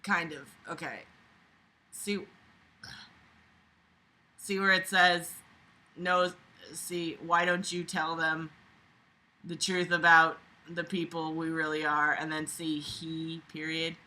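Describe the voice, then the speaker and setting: A woman with a very bright, piercing voice reads a book aloud for an online audience. She comments as she goes in a sarcastic, exasperated and freely swearing manner. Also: sits low, little pitch movement, slow, pauses often. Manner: sarcastic, exasperated, foul-mouthed.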